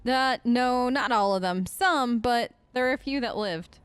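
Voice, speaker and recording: A woman's voice: fairly high pitch, unhurried, clean tone, no room sound. A woman doing role-play let's play commentary, chatty and curious, often tentative as she reacts in character. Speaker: gaming streamer.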